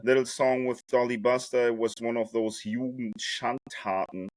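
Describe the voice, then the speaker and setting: A man's voice: medium pitch, steady tone, moderate pace, no echo, low-quality recording. A man in his forties from Germany, telling his life story with jokes and loose American slang as a podcast guest. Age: 40s. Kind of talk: casual storytelling.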